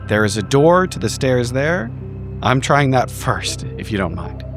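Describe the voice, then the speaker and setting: A man's voice: medium pitch, sing-song, measured pace, music in the background. A man playing a tabletop roleplaying game, improvising in character in an argumentative way and swearing casually.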